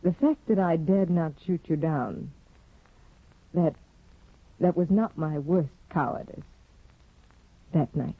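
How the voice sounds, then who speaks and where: An actress playing a proud, discontented young aristocratic wife in a poised, theatrical 1950s radio drama manner. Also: female voice, deep voice, slow pace, long pauses, husky, heavily acted. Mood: confiding, tense. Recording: muffled, phone-like old radio broadcast, roomy.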